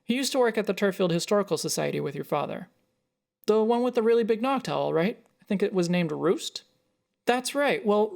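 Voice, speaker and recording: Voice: mid-range voice, gravelly. A narrator voicing a chatty, caring mother with a soothing manner in a short story.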